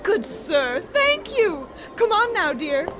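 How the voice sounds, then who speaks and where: Woman playing high, lilting, breezy genteel wife. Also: sing-song, slow pace, over music, heavily acted. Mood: flirtatious, commanding. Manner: genteel, theatrical. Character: breezy, flirtatious, gently chiding wife. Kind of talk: old-time radio comedy acting.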